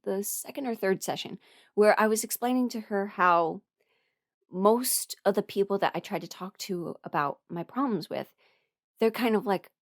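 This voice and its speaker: A woman talking casually and confidingly, giving personal advice in an informal, halting way and often correcting herself. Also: mid-range voice, dry recording, studio quality. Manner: informal, halting and self-correcting, chuckles while talking, mimics others in dialogue. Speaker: host, voice performer giving a personal ramble.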